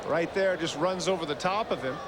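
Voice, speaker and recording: An American man works as a football color analyst, breaking down plays with conversational opinions. His voice sits a bit higher than average for a man, and very loud stadium noise surrounds it. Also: a little fast, a loud crowd. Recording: very noisy live stadium broadcast.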